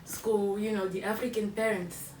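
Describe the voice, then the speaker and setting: A young woman from Cameroon, co-hosting a podcast and telling her story in a measured way, correcting herself as she goes. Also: mid-range voice, steady tone, darkish tone. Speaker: podcast co-host. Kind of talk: casual chat. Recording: echoey room, mic far off, background noise.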